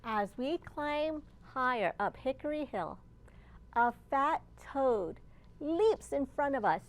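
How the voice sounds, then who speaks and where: A woman librarian reading a picture book aloud for young children, with deliberate phrasing and questions put to her listeners. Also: high-pitched, lively intonation, slight background noise.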